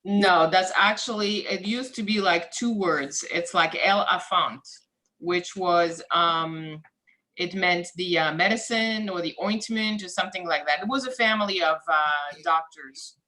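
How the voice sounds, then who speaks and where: A woman with a bright, sharp voice, chatting over a video call. She talks easily and explains things as she goes. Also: lowish voice, a little slow. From France. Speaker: study group participant. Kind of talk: casual chat on a video call.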